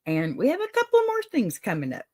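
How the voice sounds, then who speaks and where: A woman's voice: fairly high pitch, wildly swooping pitch, brisk, warm tone. A middle-aged woman with a Texas accent hosting a livestream advice show, talking in a folksy, motherly way to her viewers. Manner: folksy and motherly, calls listeners honey and y'all. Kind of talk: warm encouraging livestream advice talk.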